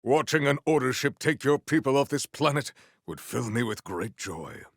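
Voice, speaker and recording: An actor playing a noble, stern warrior in a video game, speaking with formal, grandiose deadpan deliberation. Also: male voice, medium pitch, moderate pace, no room sound, clean studio sound. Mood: solemn. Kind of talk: theatrical video game character acting.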